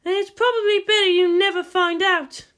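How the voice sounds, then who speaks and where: Woman doing a fed-up protective army guardian lady for a story, high bright voice, weary but bossy. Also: fairly even intonation, normal speed. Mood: weary, explaining.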